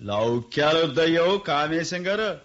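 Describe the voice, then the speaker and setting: A man's voice: fairly high pitch, animated, unhurried, a bit bright. An actor playing a shrewd, jovial rustic rich man who laughs and talks in a rural dialect, in a radio play. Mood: sly banter. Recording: dull lo-fi radio recording.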